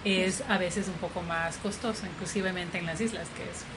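A woman from Ecuador telling her story as an interview guest, in a slow, relaxed way. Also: lowish voice. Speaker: interview guest, coffee farmer. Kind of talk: conversational interview. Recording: noisy background ambience.

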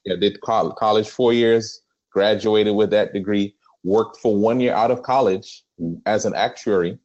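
A man with a Trinidadian accent, a pastor telling his life story as a podcast guest, with many pauses. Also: fairly low pitch, steady tone, darkish tone, highs cut off. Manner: earnest storytelling with frequent "um" pauses. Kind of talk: conversational interview.

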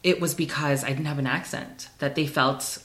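A man's voice: fairly high pitch, steady tone, moderate pace, a bit bright. A man, a stage actor guest, in casual conversation, rambling and filling his speech with "like".

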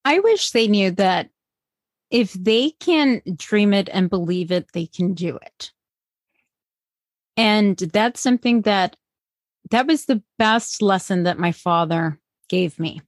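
A woman's voice: mid-range voice, lively intonation, takes it slowly, no room sound, clean studio sound. A woman from Colombia, a bilingual parenting educator, answering interview questions in an earnest, encouraging way with frequent restarts.

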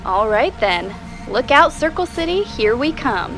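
An actress playing an eager, curious radio host who investigates mysteries, in audio drama dialogue and first-person narration. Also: female voice, on the high side, sing-song, measured pace, loud music behind the voice.

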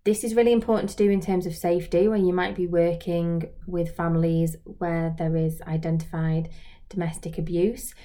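A woman with a soft-edged, mellow voice that sits a little low. She talks as a trainer giving an informal lesson, explaining things reflectively and often posing questions directly to the listener. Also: flat delivery, normal speed. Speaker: social work trainer and video host. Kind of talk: informal instructional talk to camera.

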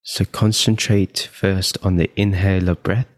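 Male meditation guide, very low pitch, unhurried and calm delivery with long pauses, dry close sound. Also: no room sound.

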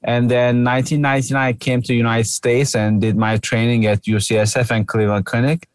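Middle-aged man with Turkish accent, podcast guest, explains with many pauses and uh's, dry close sound. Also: mid-range voice, flat delivery, clear, resonant tone, dry recording. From Turkey. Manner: hesitant, many "uh" fillers, explanatory. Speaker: surgeon guest. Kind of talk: podcast interview.

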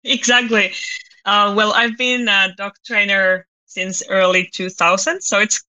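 A woman from Finland with a Finnish accent, a company founder speaking as a podcast guest and pausing to find her words. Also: medium pitch, fairly even intonation, bright, forceful tone, poor audio. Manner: thoughtful, searching for words, light laughs. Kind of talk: podcast interview.

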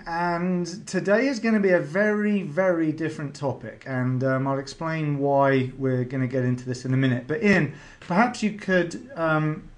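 A middle-aged man hosting a podcast, chatty and rambling, with a lot of ums. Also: fairly high pitch. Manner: chatty, rambling with many fillers. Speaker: podcast host. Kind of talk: podcast interview.

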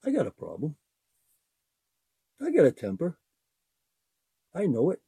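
A man's voice: fairly high pitch, huge pitch swings, unhurried, gravelly, dark tone, breathy edge, no echo. An older man hosting his own podcast, talking earnestly off the cuff in a rambling way that repeats phrases. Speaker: podcast host. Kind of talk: unscripted heartfelt monologue.

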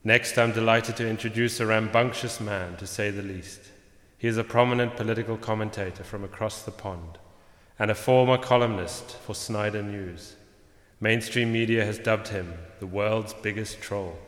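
A man plays a polished academic moderator in a radio drama. He speaks formally and rather pompously in a low-leaning voice, ringing through a very echoey auditorium. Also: steady tone, normal speed, fairly bright tone, natural voice. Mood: formal, welcoming. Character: polished, courteous male professor moderating a panel. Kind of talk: formal introductions in a radio play. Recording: echoey auditorium with long reverb.

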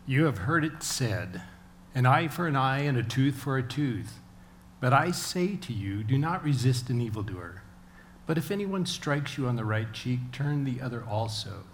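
A man's voice: medium pitch, sing-song, normal speed. Man reading scripture over faint noise. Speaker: scripture reader. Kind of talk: read-aloud Bible passage. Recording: faint background ambient noise.

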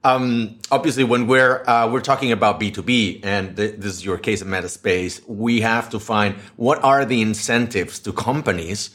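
A man's voice: on the low side, moderate pace, hardly any pauses, fairly bright tone. A man hosting a tech podcast, interviewing a guest in a chatty way with many restarts and fillers. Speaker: podcast host. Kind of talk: casual interview. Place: Madrid, Spain.

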